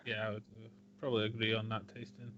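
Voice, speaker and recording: A man's voice: on the low side, quick pace, slight background noise. A man co-hosting a podcast, chatting casually with short, hesitant replies. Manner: relaxed, brief replies with ums. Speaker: podcast co-host. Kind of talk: casual chat. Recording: dull lo-fi audio.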